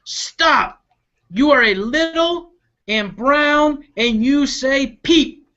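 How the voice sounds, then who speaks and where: A man voicing a stern, easily annoyed crow in a children's storybook, scolding with drawn-out, insistent words. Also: very high voice, pushed and bright, lo-fi sound, pitched above the performer's own voice, heavily acted.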